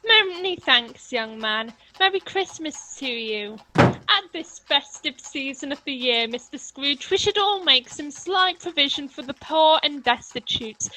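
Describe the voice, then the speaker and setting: A woman's voice: high voice, bright, forceful tone, slight background noise, rough recording. A woman playing a genteel, earnest lady collecting for charity in a radio play, polite and formal.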